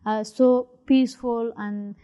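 A young woman from Afghanistan with an Afghan accent, speaking halting, hesitant English full of fillers as an interviewee. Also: on the high side, steady tone, mellow, clean tone. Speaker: interviewee, refugee.

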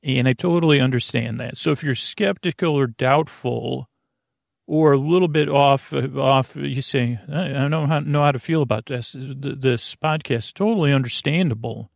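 A man hosting a sleep podcast in a meandering, halting bedtime monologue with self-deprecating asides. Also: medium pitch, creaky, no echo, clean studio sound.

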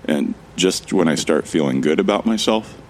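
A man's voice: low-pitched, lively intonation, normal speed, background noise. An actor playing a bewildered amnesiac man who wryly narrates his ordeal in the first person for a sci-fi audio drama. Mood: wry, uneasy. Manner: wry, musing.

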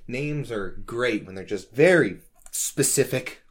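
Male co-host, medium pitch, easygoing and joking, informal conversational banter. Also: animated, slow pace. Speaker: podcast co-host. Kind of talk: casual chat, bantering.

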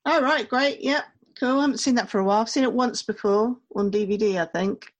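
A woman's voice: fairly high pitch, highs cut off. A woman co-hosting a film review podcast, talking in an easygoing, casual way. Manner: easygoing, quick to laugh. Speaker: podcast co-host.

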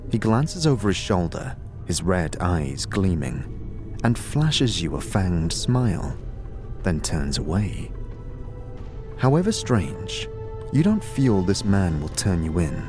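A deep-voiced man reads scripted narration for a fantasy audio drama. He tells the story as its narrator, with faint music underneath.

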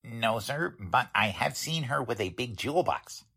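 A man's voice: mid-range voice, animated, a little slow, creaky, bright, forceful tone, husky, full character voice. A man playing a chatty, deferential bank attendant in a folksy, plain-spoken 1940s radio drama style. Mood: earnest, deferential.

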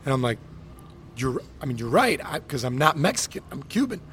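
Podcast host, man, 30s, from Miami, animated ranter, mid pitch. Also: a little fast, slight background noise. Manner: animated storyteller, ranting, acts out quotes. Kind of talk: casual podcast chat.

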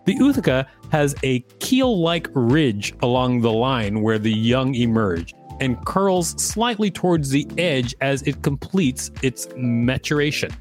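A man with a mid-pitched voice co-hosts a read-aloud podcast. He mixes narration with playful, casual banter over soft background music. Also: sing-song, measured pace, few pauses. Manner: playful, joking. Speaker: podcast co-host reading encyclopedia articles.

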